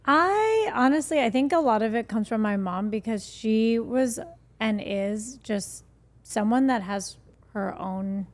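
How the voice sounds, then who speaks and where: A woman speaks as a guest in a relaxed interview, talking candidly and loosely with many fillers. Her voice sits a little high. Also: unhurried. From United States. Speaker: guest, skincare founder. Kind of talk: casual interview chat.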